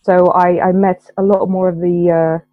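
A woman's voice: lowish voice, level tone, dry recording. Woman on a tinny line. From Romania. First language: Romanian. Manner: measured and articulate. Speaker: interview guest, interpreter. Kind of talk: casual interview chat.

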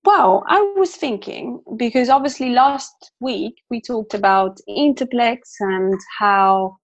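A woman's voice: fairly high pitch, slow pace, dull audio. A woman from Italy who speaks Italian natively, chatting as a guest about how she learns English, with frequent fillers and restarts. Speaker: guest interpreter. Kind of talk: casual chat. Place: London.

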